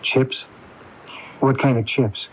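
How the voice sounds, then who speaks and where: A man's voice: mid-range voice, fairly even intonation, takes it slowly, slightly rough. An actor playing a hesitant, puzzled man in a 1980s radio mystery, questioning and unsure. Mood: baffled. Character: hesitant, puzzled male accomplice in murder mystery. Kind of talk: 1980s radio drama acting. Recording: thin, muffled AM radio recording.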